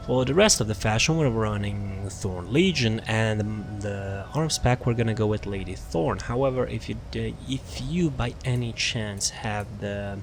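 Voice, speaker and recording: A man's voice: fairly low pitch, moderate pace, few pauses. A man giving casual, off-the-cuff video game commentary as he presents a build guide, rambling and repeating his phrases. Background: music.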